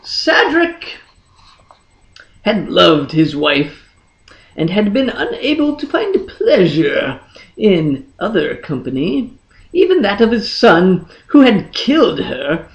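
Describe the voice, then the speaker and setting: A storyteller reading a fantasy tale in a dramatic, deliberate manner with lingering pauses. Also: mid-range voice, wildly swooping pitch, vocal fry, muffled recording. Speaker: audiobook reader. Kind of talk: dramatic scripted fantasy narration.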